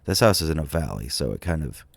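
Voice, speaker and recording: A sick man with a cold thinks aloud in a casual, hesitant, musing way, with many ums and trailing pauses, as he narrates a vlog. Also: extremely low pitch, big pitch swings, gravelly, dry recording. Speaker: vlogger touring tornado damage on a map.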